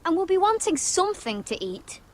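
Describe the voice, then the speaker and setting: Young wildling sister, high pitch, sharp-tongued, blunt, distrustful, commanding, fantasy game drama. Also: female voice, big pitch swings, moderate pace. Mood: stern, distrustful. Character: blunt, suspicious, protective free-folk sister. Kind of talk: dramatic video game acting.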